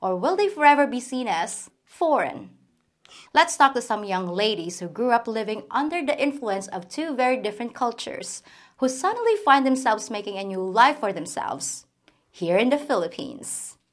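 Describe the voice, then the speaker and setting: High-voiced woman hosting an interview. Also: big pitch swings, normal speed. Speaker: host and interviewer. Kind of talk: scripted introduction and casual interview.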